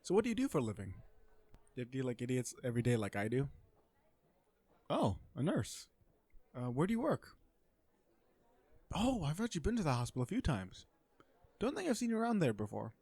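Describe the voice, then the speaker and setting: Casting: male actor as a sardonic, flirty corporate supervillain for romantic comedy audio roleplay, mid-pitched, conversational and sure of himself. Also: wildly swooping pitch, a little fast, long pauses, darkish tone, breathy edge, no echo, heavily acted. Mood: flirty, relaxed. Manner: conversational venting, sardonic and self-assured. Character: cocky, flirtatious businessman supervillain on a blind date.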